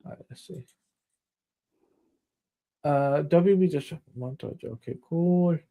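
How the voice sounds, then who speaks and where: A man's voice: a little high, slow, phone-quality sound. A man hosting a casual live stream, reading out news items in a rambling way while losing his voice. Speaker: stream host.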